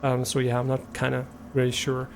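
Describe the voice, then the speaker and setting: A man's voice: mid-range voice, monotone, brisk, creaky, slight background noise. A man from Germany, a native German speaker with a German accent, chatting casually and a bit hesitantly to viewers as a live streamer, thinking aloud as he goes.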